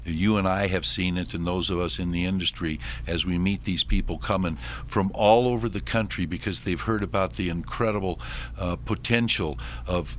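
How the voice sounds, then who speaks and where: A man speaking earnestly as a radio interview guest, halting often and restarting his sentences. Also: low-pitched, fairly bright tone, a little hiss or hum. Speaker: guest, medical cannabis advocate.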